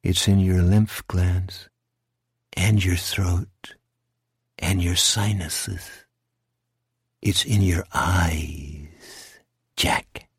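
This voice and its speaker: A man voicing a cold, taunting shadowy monster in a horror audiobook, speaking slowly and deliberately with menace. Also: deep voice, fairly even intonation, husky, no echo, clean studio sound, pitched below the performer's own voice, exaggerated voice. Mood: sinister, taunting. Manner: slow, deliberate, coldly commanding.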